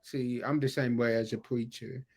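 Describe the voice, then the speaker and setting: A man's voice: medium pitch, little pitch movement, moderate pace, background noise. A man hosting an interview, a preacher telling anecdotes in an informal, colloquial way with words repeated for emphasis. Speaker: podcast host, preacher. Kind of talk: casual chat, anecdotal storytelling.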